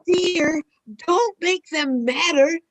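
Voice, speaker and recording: A woman as a gentle, slow-talking mother. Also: very high-pitched. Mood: soothing. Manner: gentle, soothing. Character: doting, gentle, credulous mother.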